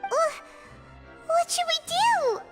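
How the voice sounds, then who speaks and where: A woman's voice: very high voice, animated, slow pace, loud music behind the voice, heavily acted. A woman voicing a small, childlike game companion who is curious and earnest. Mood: worried, curious. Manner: inquisitive, earnest. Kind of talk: game character dialogue.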